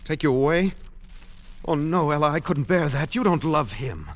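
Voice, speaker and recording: A man's voice: fairly high pitch, animated, normal speed, lightly acted. An actor playing an anxious, lovesick, pleading man in a vintage radio drama. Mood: anguished. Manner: earnest, pleading, theatrical. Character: lovesick, anxious man living secretly in a department store. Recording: old radio recording with music behind.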